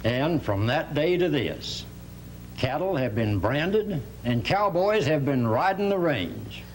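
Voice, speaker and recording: A man's voice: on the high side, takes it slowly. A man playing a jovial cowboy storyteller, spinning tall tales in a folksy manner for an educational radio drama. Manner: folksy drawl, chuckling, animated. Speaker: guest storyteller. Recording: hum and faint background noise.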